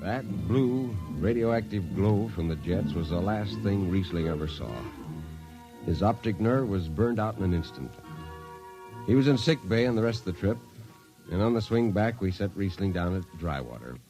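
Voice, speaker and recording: Earnest jetman and storyteller played by a man, lower register, vintage sci-fi radio play. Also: lively intonation, normal speed, close to an everyday voice, rough recording. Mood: reflective narrating. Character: earnest spaceship jetman crewmate, narrating his tale. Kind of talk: old-time radio drama narration and acting. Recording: old radio recording with music.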